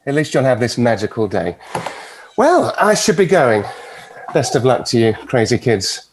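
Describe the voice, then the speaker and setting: An actor with a British accent playing a suave, arrogant devil in a script table read. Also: male voice, medium pitch, very animated, normal speed, noisy background. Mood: sardonic. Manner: urbane, sardonic. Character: suave, arrogant male devil with dry wit.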